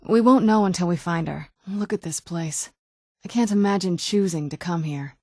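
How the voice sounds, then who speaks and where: A woman voicing a guarded, wounded young vampire noblewoman in a video game, with dry understatement and hesitant pauses. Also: lowish voice, a little mellow, dry recording. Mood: wistful, sad. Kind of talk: video game character dialogue. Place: dry studio recording.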